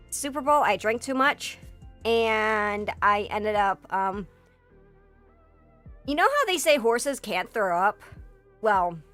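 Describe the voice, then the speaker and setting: A hoarse woman streamer in a casual livestream chat, rambling with lots of fillers and swearing. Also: fairly high pitch, fairly bright tone. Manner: rambling, filler-heavy and profane, breaks into laughter. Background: faint background music.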